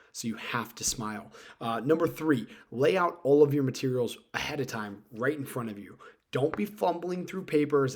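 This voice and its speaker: A man giving informal instructional advice as a video host, speaking emphatically and repeating his key points. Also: mid-range voice. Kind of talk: informal instructional talk to camera.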